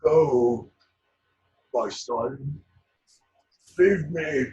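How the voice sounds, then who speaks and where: A man playing a dying elderly father, a redeemed villain, speaking weakly and haltingly in an amateur table read. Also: mid-range voice, warm tone, a little hiss or hum. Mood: tender, fading. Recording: dull video call audio.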